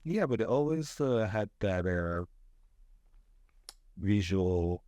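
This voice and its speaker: Low mellow man, soft and hesitant. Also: animated, takes it slowly, clear, resonant tone, no echo. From Israel. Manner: soft-spoken, hesitant, many fillers. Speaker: podcast guest. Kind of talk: casual chat.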